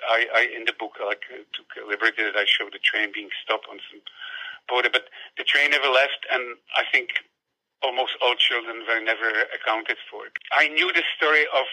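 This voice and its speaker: A man with a Czech accent tells a story over a phone call. His voice is husky but very bright and sharp, and his pitch sits in a middle range. He talks thoughtfully and keeps restarting his sentences. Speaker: guest author. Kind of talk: storytelling in conversation.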